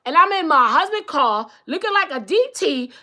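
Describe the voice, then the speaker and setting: A brash woman with a New York accent hosts a live stream, sassy and profane as she answers her viewers. Her voice is bright and sits a little high. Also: very animated, moderate pace. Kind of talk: casual live-stream chat reading comments.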